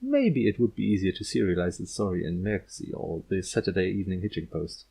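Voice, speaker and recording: A man's voice: sits low, big pitch swings, a little fast, vocal fry, mellow, breathy edge. A man reading a scripted story aloud as an audiobook narrator.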